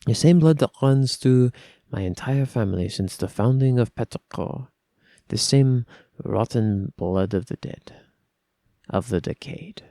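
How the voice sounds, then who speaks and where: A man putting on a hushed French-tinged necromancer. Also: medium pitch, dry recording, lightly acted. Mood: hushed, grim. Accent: put-on subtle French. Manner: hushed, slow, solemn delivery. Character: brooding necromancer of a cursed bloodline. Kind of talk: dramatic reading of a first-person lore piece.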